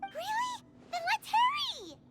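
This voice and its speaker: A woman voicing a small, childlike, excitable and nervous floating companion in a video game, squeaky and babyish. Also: slow, exaggerated voice. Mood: excited. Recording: background music under the voice. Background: game music.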